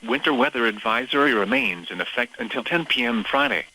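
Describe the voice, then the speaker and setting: A synthetic American man's voice delivers a weather forecast in formal, scripted style. The tone is bright and forceful at an average male pitch. Phrases come out clipped and impersonal, as on an automated weather radio broadcast. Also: animated, brisk, slight background noise, low-quality recording. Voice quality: synthetic text-to-speech voice.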